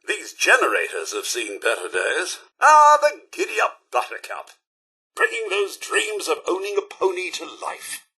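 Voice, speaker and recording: A man's voice: high voice, huge pitch swings, unhurried, pushed and bright, slightly rough, exaggerated voice. A man voicing a prim, fussy, courteous robot butler in a video game, with a British accent and a polite, theatrical manner. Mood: chipper, curious. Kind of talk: video game companion voice lines.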